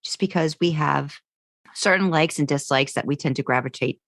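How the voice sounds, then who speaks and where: A woman's voice: sits low, moderate pace, fairly bright tone, dry recording, studio quality. A woman co-hosting a podcast, talking casually and informally in a self-deprecating way. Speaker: podcast co-host.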